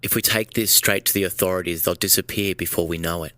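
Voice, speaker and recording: An actor playing a fidgety, guilt-ridden man in an audio drama, speaking haltingly and repeating words. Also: sits low, fairly even intonation, pushed and bright, no echo. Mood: urgent, nervous. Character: fidgety, guilt-ridden man plotting to undo his damage.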